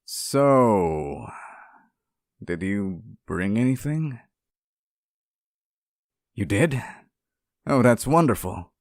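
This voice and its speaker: A man's voice: on the low side, lively intonation, extremely slow, pauses often, no echo, studio quality, full character voice. A man playing a sweet, clingy, centuries-old sealed demon in an intimate ASMR roleplay, speaking tenderly and hesitantly to one listener. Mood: grateful.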